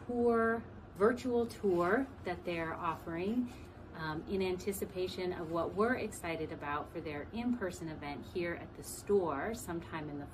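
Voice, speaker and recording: A woman with a slightly low voice gives a promotional welcome as a shop owner. Clear ambient noise sits behind her words. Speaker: bookstore owner giving a welcome. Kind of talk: promotional introduction.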